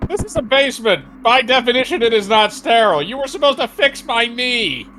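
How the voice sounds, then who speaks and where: Animated, indignant captive man voiced by a man. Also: high voice, slow pace, faint music behind, exaggerated voice, poor audio. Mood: indignant, confused. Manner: incredulous, sweary, exclaiming. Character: bewildered, indignant male patient held captive.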